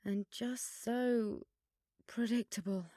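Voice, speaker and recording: A woman's voice: on the high side, fairly even intonation, slow, warm tone. A woman playing a bossy, fussy, self-important schoolgirl in a scripted audio drama, haughty and theatrical in delivery. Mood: bossy, picky.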